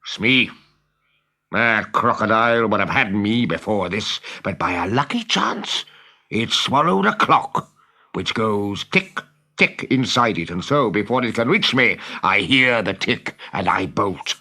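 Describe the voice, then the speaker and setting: A man voicing a villainous pirate captain in a children's storybook, growling in a drawn-out, theatrical, menacing way. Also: fairly low pitch, animated, bright, forceful tone, full character voice. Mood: brooding, fearful. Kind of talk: dramatised children's storybook reading.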